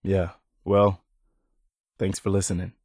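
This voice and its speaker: Earnest militia officer, lowish voice, sincere and humble, conversational, video game dialogue, very dry recording. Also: male voice, flat delivery, takes it slowly, a little mellow, no echo, exaggerated voice. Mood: warm, sincere. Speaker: game character.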